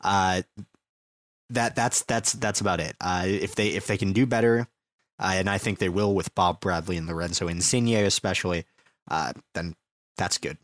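Hesitant young man with a lowish voice. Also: steady tone, moderate pace. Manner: hesitant, stammering, filler-heavy. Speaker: podcast co-host. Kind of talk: casual soccer preview chat.

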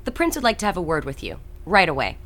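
A woman's voice: on the low side, wildly swooping pitch, fast talker, fairly bright tone. A woman playing a vampire prince's businesslike messenger in an audio drama, speaking in a curt, formal way. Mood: curt, stern.

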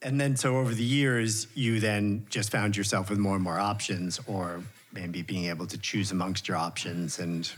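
A man's voice: fairly low pitch, fairly even intonation, normal speed, hardly any pauses, husky. A man hosting a podcast, asking admiring, searching questions of his guest. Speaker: podcast host. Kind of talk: interview questions.

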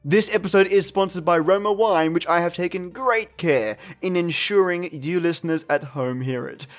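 A man's voice: sits high, moderate pace. Playful male podcast host. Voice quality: playful, theatrical. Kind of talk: podcast introduction.

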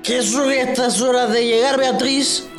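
An actor playing a drunken, grieving, violent older father with a Central American Spanish accent, in a slow, anguished, emotional radio drama delivery. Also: very high voice, fairly even intonation. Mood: harsh. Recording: roomy echo, music underneath.

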